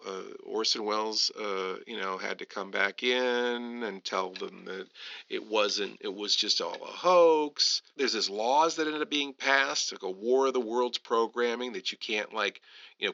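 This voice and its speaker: Gravelly, sharp-voiced man commenting casually. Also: on the low side, dull audio. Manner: rambling, heavy use of "you know". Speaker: podcast host.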